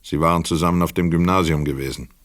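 A man with a Swiss German accent narrating a radio play in a measured, sober way. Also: deep voice, steady tone, no room sound.

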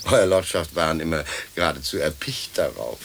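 An actor playing a deferential, sly old butler ghost in a German radio play, servile, formal and drily insinuating. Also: male voice, very deep voice, animated, a little fast, creaky, fairly bright tone, a little hiss or hum, exaggerated voice. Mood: dry, deferential.

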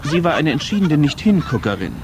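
A man's voice: a little high, sing-song, brisk. A man reading scripted literary narration in a radio play. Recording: clear noise behind the voice.

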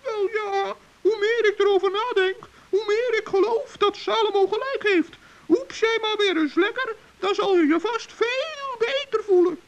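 A performer playing a small, good-hearted old male gnome in a children's radio play, earnest and fretful, with a squeaky, plaintive voice on a muffled old recording. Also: moderate pace, slight background noise. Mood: encouraging.

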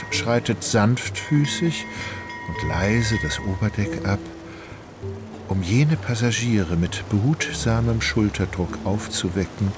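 A man acting as narrator of a literary radio drama, an ornate, wry storyteller with a measured delivery. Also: fairly low pitch, lightly acted. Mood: grand, measured. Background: music.

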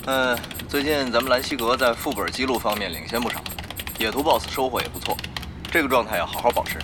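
An actor playing an earnest, easily flustered guild leader in an online game, stammering and exasperated, in a Chinese radio drama. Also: male voice, mid-range voice, fast talker, background noise, close to an everyday voice. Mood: pleased.

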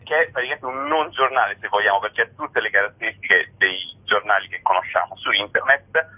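A man being interviewed as a guest, explaining his work in a chatty way with many filler words. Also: medium pitch. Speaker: interview guest, satirical writer. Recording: narrow tinny phone line on radio.

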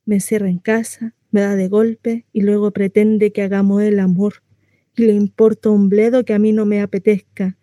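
An actress as a bright-sliding, confiding housewife in dry sound. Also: female voice, mid-range voice, little pitch movement, moderate pace, no echo, natural voice. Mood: bitter, wry. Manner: confessional, rambling, self-interrupting, dramatic. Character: confiding, mistreated housewife, chatty, naive, wryly comic. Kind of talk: theatrical comic monologue addressed to a listener.

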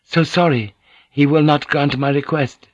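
Male narrator as Japanese noblewoman, historical audiobook: raised pitch, formal, restrained, polite. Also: fairly even intonation, unhurried, no echo, highs cut off. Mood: polite, regretful.

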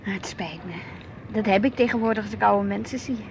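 An actress playing a wistful, discontented married housewife in a radio play. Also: female voice, medium pitch, a little fast, creaky, a little hiss or hum, lightly acted. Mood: melancholy. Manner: expressive, brooding, plaintive.

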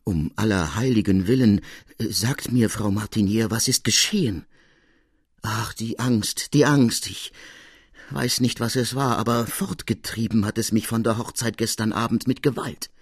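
A man's voice: fairly low pitch, moderate pace, slightly rough, no room sound, clean studio sound. A man voicing an honest, frightened servant in an audiobook, with agitated and urgent delivery. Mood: alarmed. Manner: agitated, breathless storytelling, urgent. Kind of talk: dramatic audiobook character reading.

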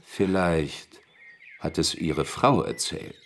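A man in a German radio drama playing a sly, polite investigator who speaks deliberately with insinuating courtesy and quiet menace. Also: sits low, a little hiss or hum, heavily acted. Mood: probing, insinuating.

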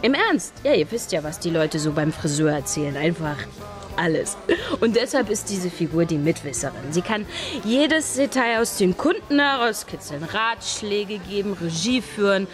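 A woman's voice: medium pitch, expressive, moderate pace, over music, natural voice. An actress playing a young female scriptwriter who talks earnestly and with growing enthusiasm in a radio play.

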